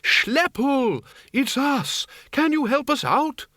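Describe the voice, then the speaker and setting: A man's voice: big pitch swings, a little slow, no echo, clean studio sound, full character voice. A man voicing an elderly undead wizard, quavering, anxious and polite, in a storybook reading. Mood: excited shouting. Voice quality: quavering, high.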